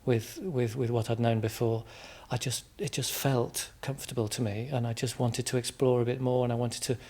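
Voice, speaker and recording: Englishman as interview guest: informal, thoughtful, halting delivery with repeated words. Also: mid-range voice, fairly even intonation, dark tone. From England, Midlands. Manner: hesitant, reflective, repeats words as he explains.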